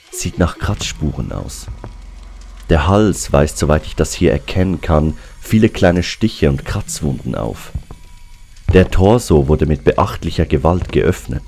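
An actor playing a grave, matter-of-fact small-town doctor in a German horror radio play, measured and clinical. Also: male voice, very deep voice, gravelly, warm tone, full character voice. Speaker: actor in an audio drama.

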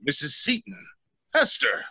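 An actor playing a gruff, flustered sheriff, husky and blustering, in old-time radio style. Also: male voice, sits high, huge pitch swings, unhurried, pushed and bright, no echo, heavily acted, rough recording. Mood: exasperated. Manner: blustering, exasperated. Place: home room, video call.

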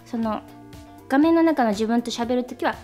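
A woman with a fairly high voice talks casually on a radio show. She is earnest and emphatic, with music clearly audible behind her. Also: normal speed, a little mellow. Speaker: actress chatting on radio show.

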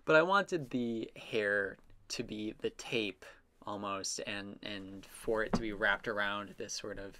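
A man's voice: lowish voice, slow pace, sharp tone. A man narrating his own drawing process in a casual, unscripted voice-over, rambling and self-amused as his musings trail off.

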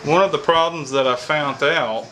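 A man's voice: a little high, normal speed, pushed and bright, a little hiss or hum, low-quality recording. A man in his thirties with a Kentucky accent, explaining heating and air repair in a folksy, think-aloud way, filmed on a camera mic. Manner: folksy, thinks aloud, frequent "all right". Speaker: HVAC technician. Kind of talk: casual on-the-job explanation. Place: outdoors by an AC unit, then inside a house.

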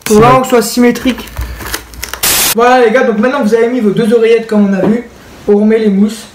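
A man's voice: extremely high pitch, flat delivery, quick pace, noisy background. A young man giving a casual product tutorial, informal and talking straight to the viewers. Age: young adult. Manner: informal, addressing viewers directly. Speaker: video creator and presenter. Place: indoors in a home room.

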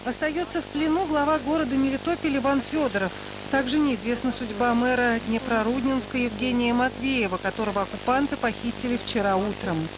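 A woman's voice: on the high side, brisk. A woman reading a voice-over translation of a statement in a news report. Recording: thin phone-like sound, noisy shortwave.

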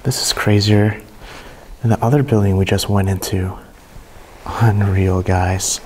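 A young man with a low, even voice talks straight to his handheld camera as he walks through a big abandoned house. He sounds hushed and amazed, reacting to each room. A faint hiss sits under the recording. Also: measured pace, rough recording. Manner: hushed and awed, reacting to what he finds. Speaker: urban explorer vlogger. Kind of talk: casual walkthrough narration to camera. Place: inside a large abandoned mansion.

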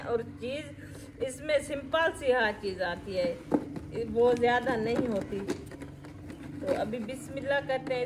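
Middle-aged woman, cooking vlogger, higher voice, homely step-by-step talk, outdoor courtyard, noticeable hiss. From Pakistan. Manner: homely, matter-of-fact instructions with frequent fillers. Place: outdoor courtyard with brick walls.

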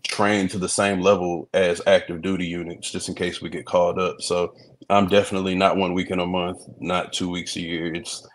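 A man with a very low, deep voice talks over a video call in an easygoing way. He is a comedian from Texas who chuckles often and restarts his phrases. The tone stays laid-back throughout. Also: fairly quick, a little hiss or hum. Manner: relaxed, laughs easily, repeats words at starts. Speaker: comedian and reality show guest. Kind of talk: casual video-call interview. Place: indoor room.

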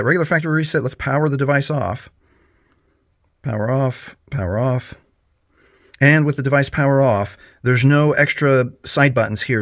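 A man's voice: mid-range voice, dry recording, studio quality. Patient man walking through phone steps. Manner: friendly, patient, instructive. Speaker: tech tutorial host. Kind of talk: step-by-step phone tutorial.

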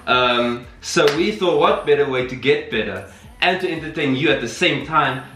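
A man's voice: medium pitch, expressive, normal speed, sharp tone, recorded from a distance. A young man in his twenties with a cold, sounding nasal and stuffed up, chatting playfully while taste-testing candy as a vlog co-host. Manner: playful, teasing. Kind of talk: casual candy taste-test chat. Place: small bare room indoors, seated on a couch. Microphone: camera mic at a distance. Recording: roomy echo, from the mic, faint music.

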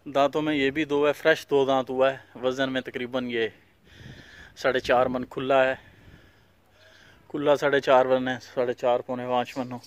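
A man's voice: medium pitch, flat delivery, moderate pace, clean tone. Farm owner, male, casual outdoor vlog walkthrough, plain and repetitive delivery, mid-range voice. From Pakistan. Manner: plain, repetitive pointing out of each animal's features. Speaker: cattle farm owner showing animals for sale. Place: outdoor dusty farmyard.

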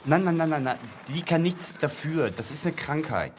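An actor in a radio play playing a world-weary clerk who explains things in a matter-of-fact grumble. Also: male voice, a little high, lively intonation, normal speed, slight background noise, lightly acted.

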